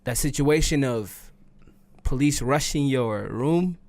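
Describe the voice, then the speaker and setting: Man, around 30, mid-pitched voice, casual podcast talker who repeats himself to make a point. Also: slow pace, a little mellow. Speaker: podcast host. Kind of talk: casual group discussion.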